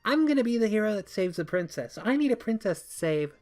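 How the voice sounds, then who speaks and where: A man's voice: high-pitched, big pitch swings, fairly quick, a little mellow, voice pushed up. A man voicing a playful six-year-old girl who pleads and exclaims eagerly in a story.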